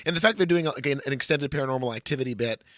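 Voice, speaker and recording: A man's voice: mid-range voice, rapid-fire, a bit bright, no room sound, clean studio sound. A man co-hosting a podcast, bantering casually and reading aloud with a sardonic edge. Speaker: podcast co-host.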